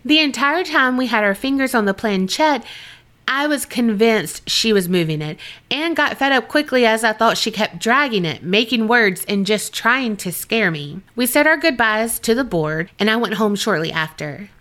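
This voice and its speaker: A woman co-hosting a podcast in an easygoing way, reacting with quick laughs and reading listener letters in a steady voice. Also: fairly high pitch. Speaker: podcast co-host. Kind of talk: casual chat and reading listener stories.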